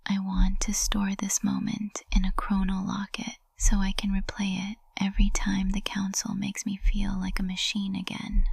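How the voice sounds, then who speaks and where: A woman's voice: mid-range voice, creaky, husky, dry recording, heavily acted. A woman playing a lovestruck witch professor in an intimate ASMR roleplay, whispering tenderly and breathily, close to the microphone. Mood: soft adoration. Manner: slow, tender, intimate delivery. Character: lovestruck high witch professor, usually cold and composed, tender.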